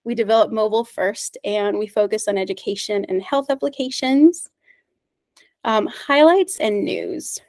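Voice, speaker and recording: A woman's voice: mid-range voice, animated, normal speed, darkish tone, no room sound. A woman whose first language is Spanish, presenting at an online meetup. She is upbeat and friendly, with frequent fillers and small laughs. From United States. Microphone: video call.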